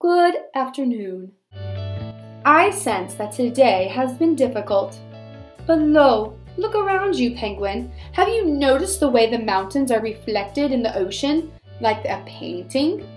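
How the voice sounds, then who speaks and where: A woman's voice: high voice, expressive, a little slow, soft background music. A woman voicing a wise, kindly male walrus in a storybook, formal, eloquent and reassuring. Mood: earnest, gentle. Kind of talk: storybook read-aloud.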